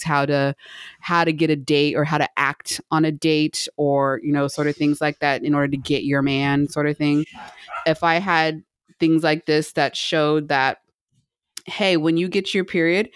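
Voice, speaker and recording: A middle-aged woman co-hosting a podcast in casual conversation, explaining her thoughts earnestly in a rambling, halting way. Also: sits low, clean tone, dry recording, studio quality. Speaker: podcast co-host. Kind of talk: casual chat, reviewing a book.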